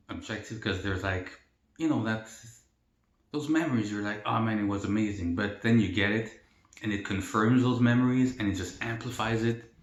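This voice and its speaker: A young man from El Salvador talks through a casual question-and-answer vlog, relaxed and rambling with plenty of fillers. His voice sits fairly low. The room gives the recording a noticeable echo. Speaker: mukbang vlogger. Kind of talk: casual Q&A while eating. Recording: roomy echo.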